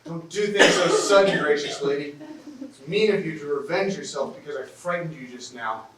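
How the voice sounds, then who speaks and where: Guy playing tired nervy soldier, wry n polite, highish voice, stage play, echoey and far from mic. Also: normal speed, crowd noise, lightly acted. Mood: nervous, weary. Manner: wry, polite, self-deprecating. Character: weary, practical Swiss professional soldier, wry and nervous. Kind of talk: theatrical stage acting of a classic comedy. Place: theatre stage, recorded from the audience. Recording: roomy, distant stage recording with hiss.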